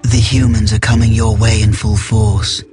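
An actor playing a contemptuous, sinister villain in a video game. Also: male voice, on the low side, little pitch movement, normal speed, a little mellow, faint music behind, close to an everyday voice. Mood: scornful. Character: contemptuous, sinister male villain scorning humans. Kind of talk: scripted game cutscene acting.

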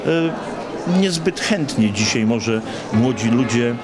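A man in his sixties giving a formal interview in an auditorium, with measured, hesitant phrasing. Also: medium pitch, lively intonation, crowd noise. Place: large auditorium with audience. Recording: loud music behind the voice.

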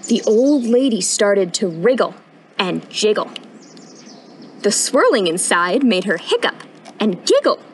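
A young woman reading a picture book aloud at storytime in an expressive, sing-song rhyming delivery, recorded outdoors. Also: medium pitch, slow, pauses often, a little hiss or hum. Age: young adult. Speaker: storytime reader, intern. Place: outdoors on a wooden porch.

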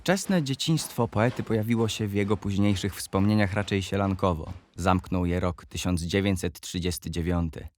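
A man reading scripted biographical narration for an audio play in a measured, factual manner. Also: low-pitched, a little mellow.

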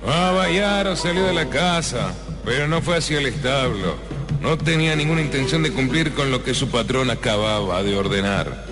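A man's voice: mid-range voice, expressive, normal speed, in a large hall, music in the background. A man with an Argentine accent giving melodramatic scripted narration for a comic radio serial. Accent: Argentine Rioplatense.

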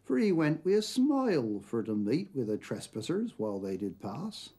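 A man with a Dorset accent reciting dialect poetry as an expressive, emphatic storyteller. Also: medium pitch, normal speed, breathy edge. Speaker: poetry reader. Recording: noticeable background noise, 1990s television soundtrack.

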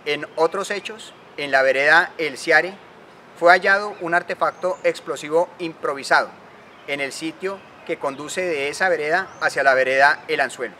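A man delivers an official operational report in a formal, deliberate way. His tone is bright and sharp, with a slightly high pitch. Faint background noise sits behind him. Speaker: army spokesman.

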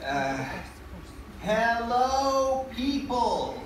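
Heard from far off in a noisy hall is an eager puppet animal leader, acted by a woman. The delivery is theatrical and excitable, swinging widely in pitch and stumbling over words with enthusiasm. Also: drawn-out words, exaggerated voice. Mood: nervous, friendly. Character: eager, upbeat towel-animal puppet leader named Roar. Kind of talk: live puppet show acting. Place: large indoor show room, filmed from audience. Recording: echoey hall from far off, noisy audience recording.

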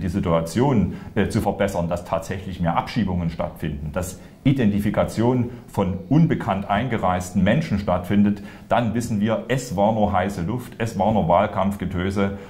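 A man giving measured, explanatory political answers as a party spokesman. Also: low-pitched, mellow. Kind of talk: interview answers, political commentary. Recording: roomy echo.

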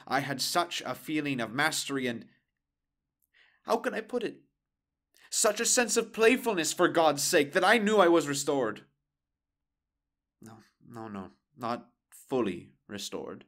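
An actor plays a proud, self-absorbed male surgeon reminiscing in a radio play monologue. His medium-pitched voice is rhetorical and wistful, dwelling on repeated phrases. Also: fairly bright tone. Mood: exultant.

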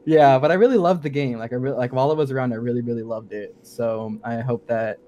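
A man's voice: mid-range voice, steady tone, fast talker, dark tone. A young adult man talking casually as a podcast guest, informal, a little hesitant and wry. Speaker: guest, game sound designer. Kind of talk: casual interview chat.

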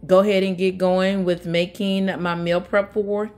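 A woman vlogger talking casually through her grocery haul in a home kitchen, relaxed and chatty with frequent fillers. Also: mid-range voice, measured pace, slight background noise.

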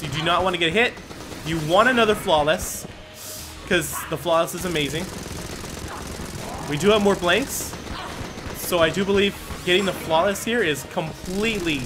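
A man giving casual, excitable commentary as he plays a video game, often talking to himself. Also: high-pitched. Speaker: gaming YouTuber. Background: game music and gunfire.

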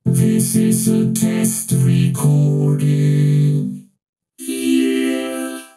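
A man's voice: extremely slow, over loud music, poor audio. A man speaking through a vocoder as a synthetic robot, his words turned into musical synth harmonies. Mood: robotic. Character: synthetic singing robot voice, slow and chordal. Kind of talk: musical effect demonstration.

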